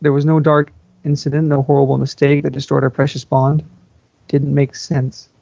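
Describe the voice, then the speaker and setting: A man's voice: fairly high pitch, little pitch movement, moderate pace, vocal fry, mellow, no echo. A man reading a first-person story aloud as an amateur, stumbling over the odd word. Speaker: amateur fan fiction reader. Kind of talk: scripted narration read aloud in first person. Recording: dull lo-fi microphone, dry.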